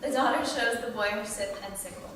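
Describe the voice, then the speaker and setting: A woman's voice: mid-range voice, fairly quick, fairly bright tone. A woman reading scripted stage directions as narrator at a table read. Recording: echoey hall, distant mic, clear background noise.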